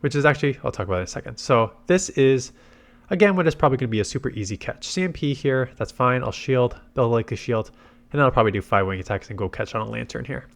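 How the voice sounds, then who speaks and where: A man's voice: mid-range voice, animated, fast talker, gravelly. A man congested with a head cold gives casual gameplay commentary, thinking aloud through his tactics. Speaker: game commentator and video host.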